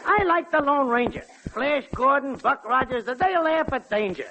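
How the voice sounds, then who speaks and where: An actor playing a rough, lowbrow poet who theatrically recites ungrammatical doggerel about his passions, in 1940s radio comedy style. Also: animated, moderate pace, full character voice. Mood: excited. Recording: noisy, crackly 1940s radio broadcast.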